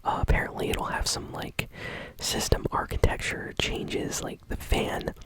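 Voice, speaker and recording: Whispering woman, ASMR gaming news. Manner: soft, unhurried, informal.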